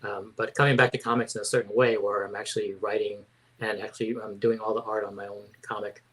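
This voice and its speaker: A man's voice: fairly low pitch, flat delivery, fast, a bit bright, husky, low-quality recording. Hesitant man in his fifties. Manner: hesitant, many fillers and restarts. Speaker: comic book artist guest. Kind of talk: casual interview.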